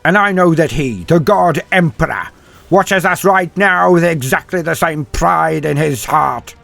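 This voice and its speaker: A man's voice: fairly high pitch, a little slow, vocal fry, fairly bright tone, no room sound, soft background music, voice pushed up. A man voicing a proud old military commander giving a stirring, emphatic speech to his troops in a dramatic war story. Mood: proud, rousing. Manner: stirring, emphatic battlefield oratory.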